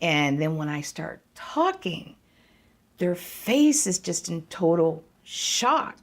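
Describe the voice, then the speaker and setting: A woman's voice: on the low side, sing-song, takes it slowly. A middle-aged American woman speaking in an earnest, plainspoken way in an interview, with light laughs. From United States. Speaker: local businesswoman. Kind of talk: interview testimony.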